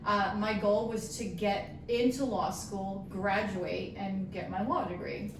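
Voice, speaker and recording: A woman's voice: medium pitch, steady tone, a little slow, noisy background. Woman telling her story in an echoey room. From Long Island, New York. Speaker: podcast guest, lawyer. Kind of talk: casual personal storytelling. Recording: echoey room, distant from the mic.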